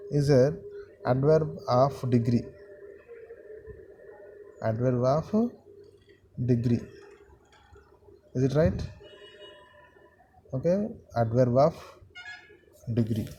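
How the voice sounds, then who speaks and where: A man teaching a grammar lesson to students, repeating sentences and pausing often with fillers. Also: medium pitch, animated, warm tone, a little hiss or hum, poor audio. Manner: slow, repetitive, halting with many fillers.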